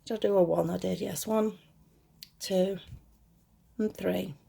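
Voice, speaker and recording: A woman hosts a crochet tutorial in a soft-edged, mellow voice that has a slight gruffness to it. She chats casually through each step at a middling pitch, correcting herself and reassuring beginners. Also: fairly even intonation, measured pace. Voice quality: slightly gruff, lower than her usual voice. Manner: chatty, self-correcting, reassuring toward beginners. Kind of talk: casual step-by-step craft instruction.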